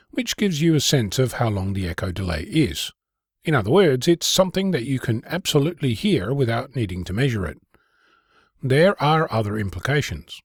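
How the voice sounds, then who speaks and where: A man hosting an amateur radio program, reading scripted explanations in a measured, precise way. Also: mid-range voice, husky, no room sound, clean studio sound. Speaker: amateur radio podcast host. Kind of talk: scripted narration.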